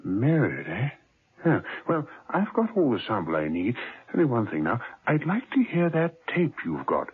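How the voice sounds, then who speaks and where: An actor playing a brisk, matter-of-fact male scientist in a 1970s radio drama, on tinny old radio tape. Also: medium pitch, huge pitch swings, slightly rough, heavily acted.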